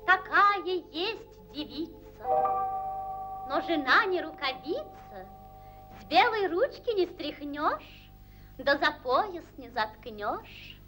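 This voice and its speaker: A woman's voice: high voice, sing-song, takes it slowly, exaggerated voice, low-quality recording. A woman playing a young, wise enchanted swan princess in a 1940s cartoon, speaking melodious, stately verse. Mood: gentle, solemn. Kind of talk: 1940s animated fairy-tale film acting. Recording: old 1940s film soundtrack, music behind.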